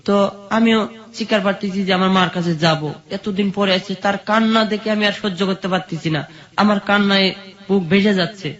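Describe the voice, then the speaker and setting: A man's voice: high-pitched, fairly even intonation, a little fast, fairly bright tone. A man with a Bangladeshi accent telling his own life story as an interview guest. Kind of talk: personal life-story narration. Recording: dull lo-fi sound.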